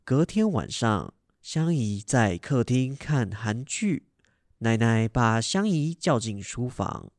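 A man's voice: medium pitch, very animated, takes it slowly, dry recording, studio quality. A young man with a Taiwanese accent reading scripted narration for a radio drama. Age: young adult.

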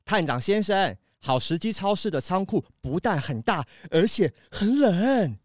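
A man's voice: high voice, big pitch swings, measured pace, no echo, exaggerated voice. A man playing a chatty, obliging delivery worker who explains things casually in a children's audio detective drama. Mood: helpful. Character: friendly, chatty male supermarket delivery worker.

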